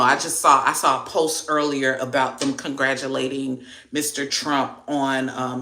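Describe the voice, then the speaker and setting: A woman's voice: deep voice, roomy sound, a little hiss or hum. A young woman from Miami with a Haitian American accent, chatting casually on a livestream and answering viewer comments. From Miami, Florida. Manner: chatty, lively, slangy. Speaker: livestream host.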